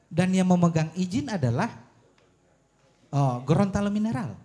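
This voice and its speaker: A man making an argument at a public forum, his voice fairly high and swinging widely in pitch. He phrases things deliberately and emphatically, often posing pointed rhetorical questions. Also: lively intonation, dark tone. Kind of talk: argumentative forum speech on policy.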